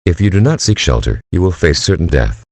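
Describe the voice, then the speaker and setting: A male synthetic-style voice as an impersonal emergency alert announcer, reading formal warnings in a flat, clipped manner. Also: low-pitched, a little fast, husky, no room sound, studio quality, exaggerated voice. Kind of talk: scripted emergency broadcast announcement.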